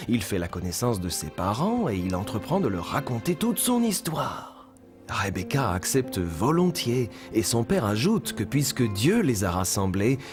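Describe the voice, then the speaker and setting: A man playing a kindly storytelling mentor in a children's cartoon dub, with measured, instructive delivery. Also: lowish voice. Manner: measured, expressive, instructive narration. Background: music behind the voice.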